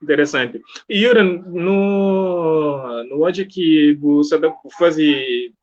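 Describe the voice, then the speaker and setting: A man's voice: on the high side, slow, darkish tone. A man with a Cape Verdean accent conducting a casual interview in an encouraging, conversational way. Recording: dull lo-fi audio.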